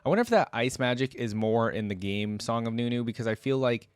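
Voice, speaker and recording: Guy doing a chatty reaction vid, mid pitch, thinking out loud, really dry close sound. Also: lively intonation, a little fast, clean tone, no echo, soft background music. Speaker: reaction video host. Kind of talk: casual unscripted reaction commentary.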